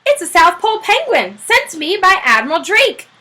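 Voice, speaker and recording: A kindly, middle-aged storybook father who muses softly and talks gently, as a woman reads him aloud. The voice sits high and lively, bright in tone. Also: moderate pace, exaggerated voice.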